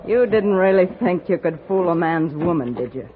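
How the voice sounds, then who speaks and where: A woman's voice: fairly low pitch, animated, normal speed, close to an everyday voice. A woman playing a vengeful, cold and commanding frontier woman in an old-time radio Western. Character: vengeful, steely frontier woman holding a gun. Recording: tinny, faintly noisy old radio recording.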